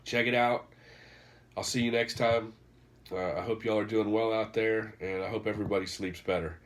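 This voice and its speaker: A man's voice: fairly low pitch, fairly even intonation, moderate pace. A man, congested and nasal from a cold, casually rambling through a book recommendation in a vlog. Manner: rambling, many fillers and restarts. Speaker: vlogger reviewing a book.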